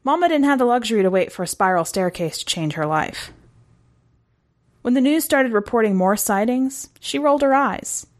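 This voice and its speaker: Female story narrator, higher-pitched voice, conversational and wry first-person read. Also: animated, moderate pace.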